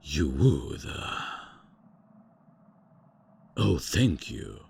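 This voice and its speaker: A man performs an eccentric vampire count, stuck in old ways and full of his own importance. The voice sits extremely low and rough with gravel, and the delivery is unhurried and formal. Grand pronouncements keep getting tripped up by nervous fillers and hasty self-corrections. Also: sing-song, darkish tone, a little hiss or hum, full character voice. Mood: pompous. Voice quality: deep and gravelly. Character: pompous old-fashioned male vampire count, eccentric and out of touch. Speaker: voice actor. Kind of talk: ASMR roleplay audio.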